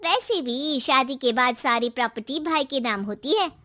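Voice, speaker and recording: A woman's voice: high-pitched, animated, moderate pace, pushed and bright, no room sound, studio quality. A woman voicing a catty, teasing young female friend in a storybook tale, taunting as she speaks.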